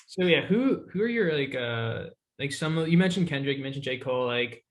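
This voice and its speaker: A man's voice: mid-range voice, lively intonation, a little fast. A man interviewing a musician, easygoing and chatty, swearing casually.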